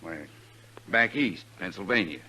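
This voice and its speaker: Pompous short-tempered old west banker, sharp forceful voice on the low side, bit of radio noise. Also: male voice, lively intonation, measured pace, heavily acted. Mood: irritated. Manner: brusque, forceful. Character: self-important, short-tempered frontier banker. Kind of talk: 1950s radio western acting. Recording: hissy old 1950s radio recording.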